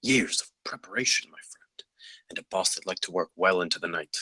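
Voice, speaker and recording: A man acts out a wizened designer in his sixties at a table read. The voice is deep and husky, recorded dry. He is composed, measured and sure of himself. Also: sharp tone, no echo, exaggerated voice, low-quality recording. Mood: wry. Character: wizened 66-year-old veteran designer, composed and focused.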